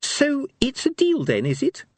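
A man's voice: very high voice, huge pitch swings, measured pace, dry recording, voice pushed up, exaggerated voice. A man voicing a hard-bargaining, sceptical male minstrel in a storybook, pressing and insistent.

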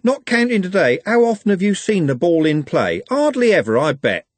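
A man's voice: sits high, very animated, moderate pace, no room sound, pitched above the performer's own voice. A man voicing a blunt, cynical young working-class man in a storybook reading. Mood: sardonic. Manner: blunt, sardonic.

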